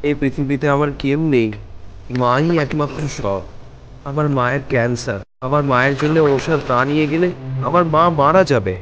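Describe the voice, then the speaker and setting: A man's voice: mid-range voice, normal speed, natural voice. Cartoon son role played by a man: earnest, pleading boy, lo-fi recording with slight noise. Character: devoted, pleading son of a sick mother, a boy. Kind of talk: cartoon dialogue acting.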